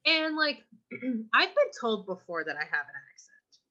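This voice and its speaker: Throat-clearing chatty young woman, muffled. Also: fairly high pitch, very animated, measured pace, sharp tone. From California. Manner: chatty, slangy, swears freely. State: keeps clearing her throat. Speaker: podcast co-host. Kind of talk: casual chat. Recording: muffled lo-fi recording.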